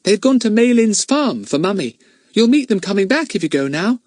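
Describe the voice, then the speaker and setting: A man's voice: high-pitched, big pitch swings, moderate pace, no echo, clean studio sound, pitched above the performer's own voice. A man doing a tender-hearted little girl. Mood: kind. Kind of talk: storybook reading.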